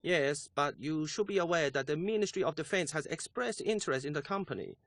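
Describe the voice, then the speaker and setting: A man's voice: a little high, brisk, dry recording, natural voice. A man playing a cautious syndicate associate, formal and careful, in a video game cutscene. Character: cautious male syndicate associate advising his boss.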